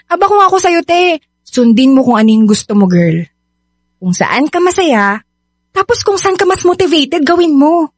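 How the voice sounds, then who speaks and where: A blunt young Filipina best friend in a radio drama. Her voice is high, sassy and emphatic, full of exasperated slang and protective push. It is recorded dry. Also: very animated, normal speed, dry recording. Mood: urging. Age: young adult. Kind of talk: radio drama acting in Taglish.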